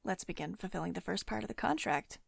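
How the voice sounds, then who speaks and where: A woman's voice: on the low side, fast, creaky, slightly rough, no room sound, studio quality, heavily acted. A woman playing a commanding, protective tech CEO who is secretly devoted and tender, in an intimate ASMR roleplay monologue. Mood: pleased, gently bossy.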